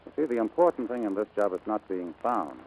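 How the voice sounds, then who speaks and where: A man acting a brash, lovestruck American navigator in 1940s radio drama style, brisk, cocky and insistent. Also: lowish voice, very animated, darkish tone, a little hiss or hum, rough recording. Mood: steady, reassuring.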